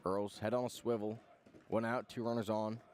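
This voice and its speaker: A man's voice: on the low side, flat delivery, normal speed, darkish tone, a little hiss or hum. A man calling live baseball play-by-play on the radio in clipped phrases, following the action as it unfolds.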